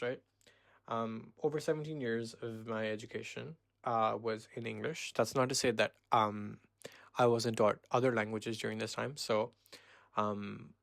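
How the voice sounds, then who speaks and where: A man in his twenties from Pakistan, hosting a casual solo podcast and rambling with many pauses and self-corrections. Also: mid-range voice, vocal fry, dry recording, studio quality. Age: 20s. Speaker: podcast host.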